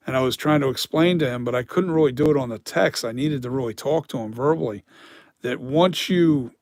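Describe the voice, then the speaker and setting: A man with a dry, hoarse voice, talking casually on a live stream about mask making, rambling and correcting himself as he explains. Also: on the high side, dry recording.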